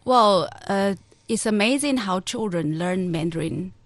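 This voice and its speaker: A woman from China whose first language is Hakka, speaking as a teacher guest on an interview podcast, with halting phrasing and many short fillers. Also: mid-range voice, animated, no room sound. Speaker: Mandarin teacher in charge at a school.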